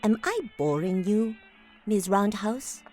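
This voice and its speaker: A woman playing a poised, probing client in a noir audio drama, speaking formally with measured, precise phrasing. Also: medium pitch, expressive, darkish tone, soft background music. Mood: measured, earnest.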